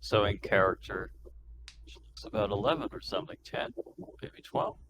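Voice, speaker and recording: A man with a low voice plays a tabletop roleplaying game. He talks casually with a wry, joking edge, and there is clear noise with clicking behind him. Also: moderate pace. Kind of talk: casual game chat, speaking partly in character.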